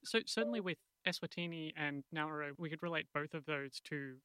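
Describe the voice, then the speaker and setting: A man presenting a radio segment, moving between scripted narration and interview questions in a crisp, measured delivery. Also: a little high, sharp tone, no echo, rough recording.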